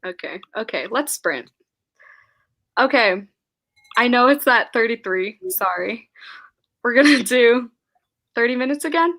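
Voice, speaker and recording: A woman's voice: on the high side, animated, unhurried, long pauses, fairly bright tone. Giggly young woman hosting a livestream. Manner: giggly, scattered, laughs often. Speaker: host of a live book chat. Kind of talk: casual live-stream chat reading comments aloud.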